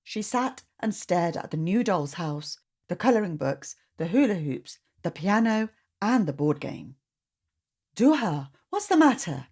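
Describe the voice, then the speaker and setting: Woman, children's story narrator, low-set voice, very lively intonation, a bit husky. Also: measured pace. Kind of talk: expressive read-aloud narration for children.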